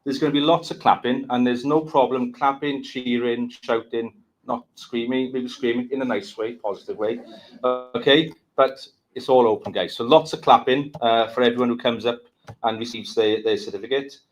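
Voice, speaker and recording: A man's voice: mid-range voice, steady tone, moderate pace, rough recording. A man giving an upbeat, congratulatory opening speech as host of a graduation ceremony in an event venue. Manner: upbeat, congratulatory public address.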